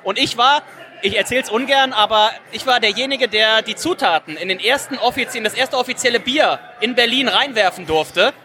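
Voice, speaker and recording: A man's voice: very high-pitched, a little fast, pushed and bright. A man interviewing a guest, speaking fluently and asking long, enthusiastic questions.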